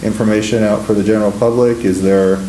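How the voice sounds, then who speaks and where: A man's voice: fairly low pitch, fairly even intonation, fast talker, mellow, slight background noise. A man presenting at a government conference, answering audience questions with many fillers and restarts. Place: conference room. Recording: echoey room.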